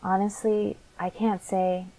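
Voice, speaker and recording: An actress playing a gentle, apologetic woman watching over a detained suspect in an audio drama. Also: medium pitch, little pitch movement, slow, low-quality recording. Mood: sympathetic, apologetic.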